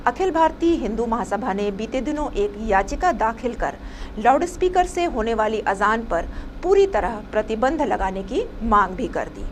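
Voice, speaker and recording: Lively, high-voiced woman news narrator. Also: a little hiss or hum. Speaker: news presenter.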